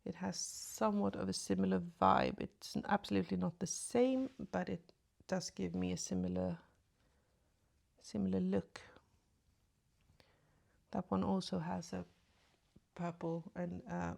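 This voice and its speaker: A woman chatting casually through a makeup tutorial, speaking softly and often half-whispering, with a slight cold and a sore throat. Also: on the low side, animated, a little slow, vocal fry, long pauses. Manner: soft, often half-whispered asides while applying makeup. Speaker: beauty vlogger. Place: at home in front of a mirror.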